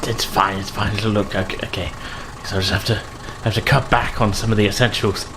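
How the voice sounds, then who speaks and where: A man's voice: fairly low pitch, fairly even intonation, a little fast, noisy background, close to an everyday voice. A man playing a flustered, polite and rather prim hired shadowrunner who stammers and rambles apologetically. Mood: resigned.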